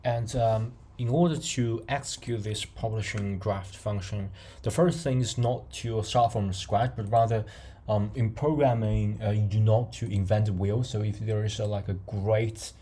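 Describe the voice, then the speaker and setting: A man whose first language is not English, hosting a casual coding tutorial, talking haltingly with many fillers and restarts and chuckling often. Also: fairly low pitch, fairly even intonation, warm tone, a little hiss or hum.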